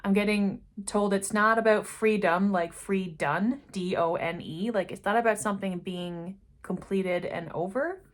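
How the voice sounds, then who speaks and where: A woman talks through an intuitive reading in an unscripted, musing way, her thoughts wandering and her voice sinking into quiet asides. She speaks very slowly, in a mid-range voice that is neither high nor low. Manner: slow, meandering, trailing off into quiet asides. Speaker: intuitive card reader. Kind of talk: unscripted spiritual reading to camera.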